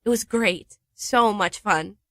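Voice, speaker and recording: A woman's voice: mid-range voice, takes it slowly, a bit bright, dry recording, clean studio sound. A woman playing Diana, a chatty, teasing wife living in a remote cottage. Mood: nostalgic, cheerful. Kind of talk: amateur radio play.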